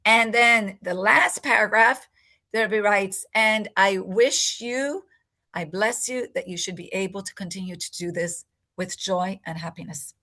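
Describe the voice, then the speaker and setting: A woman's voice: medium pitch, a little slow, bright, forceful tone. An older woman, a native English speaker, recounting a personal story in an interview, reflective and emotional. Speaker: interview guest.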